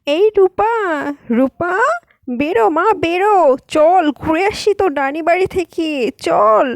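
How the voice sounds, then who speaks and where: A woman's voice: very high voice, a little slow, gravelly, dry recording, clean studio sound, full character voice. A woman voicing a caring, anxious mother in an amateur cartoon. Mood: urging. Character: caring, anxious Bengali mother of a possessed daughter. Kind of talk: amateur animated cartoon voice acting.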